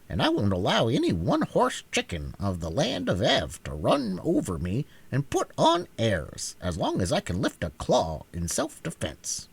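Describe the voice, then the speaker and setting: A man voicing a feisty, proud little yellow hen in a storybook reading, with a gravelly, husky tone and scrappy defiance. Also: mid-range voice, heavily acted.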